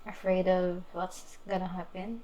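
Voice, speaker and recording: A woman streaming a game, giving casual, halting reactions as she thinks aloud. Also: lowish voice, flat delivery, mellow, slight background noise, low-quality recording. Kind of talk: casual reaction commentary over gameplay.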